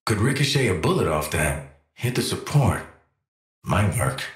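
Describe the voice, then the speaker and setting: Video game assassin: American, cynical and cocky, wry street slang, lively pitch swings, roomy sound. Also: male voice, a little slow. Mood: wry, confident. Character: cynical, cocky male assassin and protective father. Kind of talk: video game character voice lines.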